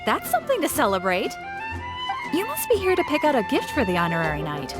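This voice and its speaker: A woman's voice: high-pitched, huge pitch swings, a little fast, over loud music. A woman voicing a friendly, chatty shopkeeper in an anime video game, with a knowing, teasing manner.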